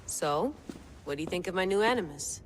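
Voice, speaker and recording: A woman's voice: fairly low pitch, expressive, brisk, faint music behind, natural voice. An actress playing an intense, sardonic woman researcher who pushes on with her work despite warnings. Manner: driven, intense, wry. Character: driven, wry woman scientist obsessed with an artifact. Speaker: researcher and cell leader. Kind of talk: game cutscene acting. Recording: long-reverb room with faint noise.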